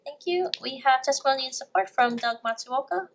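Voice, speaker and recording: High-voiced woman calling testifiers. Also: background noise. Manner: brisk, businesslike. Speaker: committee clerk or chair calling testifiers. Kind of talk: brisk procedural announcements.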